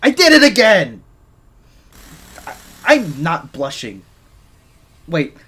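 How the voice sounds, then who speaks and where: A man's voice: high-pitched, wildly swooping pitch, takes it slowly, pushed and bright, breathy edge, heavily acted. A man playing a shy, easily flustered gadget maker for a secret agent in an audio roleplay, speaking nervously and stammering. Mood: embarrassed, flustered. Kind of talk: scripted ASMR roleplay, one side of a dialogue.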